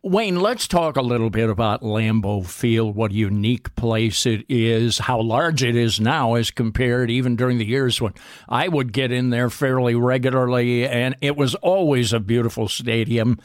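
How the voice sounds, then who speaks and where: A man hosting a radio sports program, asking measured, easygoing questions. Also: mid-range voice, no room sound, studio quality. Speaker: radio sports show host.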